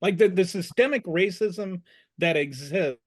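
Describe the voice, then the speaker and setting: A man with a high-pitched voice speaks as a panelist in a casual discussion. He argues emphatically, repeating words as he builds his point. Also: measured pace.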